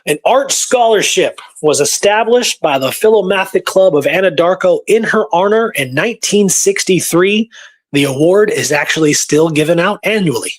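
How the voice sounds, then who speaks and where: Higher-voiced man rambling through history. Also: expressive, normal speed. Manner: rambling, informal, thinking aloud. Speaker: show host presenting researched history. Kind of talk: casual history storytelling, partly reading from notes.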